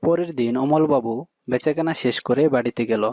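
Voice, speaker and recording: A man's voice: mid-range voice, fairly quick, a little mellow. A man reading scripted story narration for a cartoon.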